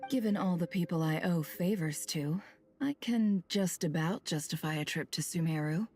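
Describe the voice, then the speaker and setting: A woman voicing a shrewd, cool woman boss in a video game, measured and sardonic. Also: fairly low pitch, a little mellow, faint music behind, lightly acted. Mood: sly, composed. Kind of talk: scripted video game voice acting.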